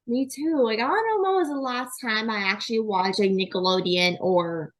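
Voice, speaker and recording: A woman's voice: on the high side, moderate pace. A young woman hosting a podcast interview, chatty and filling her talk with "like". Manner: chatty, says "like" constantly. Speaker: podcast host. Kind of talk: casual interview chat.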